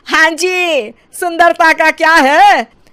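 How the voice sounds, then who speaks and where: A woman's voice: very high-pitched, slow pace, sharp tone, voice pushed up, heavily acted. A woman voicing a doting, boastful middle-aged mother-in-law in a comic story, chatty and coaxing. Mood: bossy.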